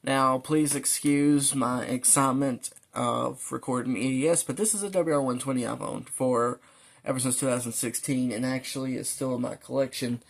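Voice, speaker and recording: A man's voice: mid-range voice, steady tone, moderate pace, creaky. A man talking casually and in a rambling, conversational way as the host of a hobby product video. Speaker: host of a weather radio channel. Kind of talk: casual product talk to camera.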